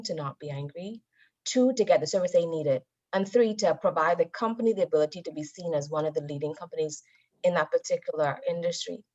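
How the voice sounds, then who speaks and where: A woman giving a motivational public talk as a guest speaker, with a deliberate, emphatic, instructive delivery. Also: fairly low pitch. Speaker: guest speaker, Toastmasters member. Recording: dull, lo-fi audio.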